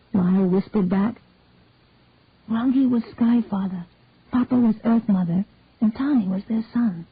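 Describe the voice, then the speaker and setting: Woman calmly reading a story, kinda husky, tinny like a phone line. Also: medium pitch, dry recording. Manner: calm, measured storytelling. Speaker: narrator.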